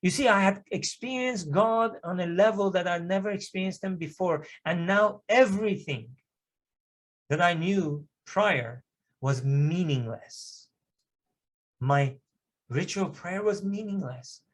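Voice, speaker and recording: A man from Iran, a native Persian speaker, delivers a personal testimony in church. He speaks earnestly, pressing hard on key moments. His voice sits a little high for a man. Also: measured pace. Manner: earnest, emphatic storytelling with swells of intensity. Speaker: guest speaker giving testimony.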